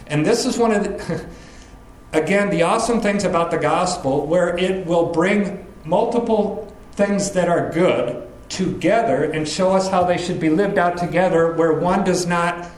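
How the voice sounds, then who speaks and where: Man, pastor preaching, earnest and measured, slightly high voice, echoey room. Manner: earnest, measured teaching with lists. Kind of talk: expository sermon.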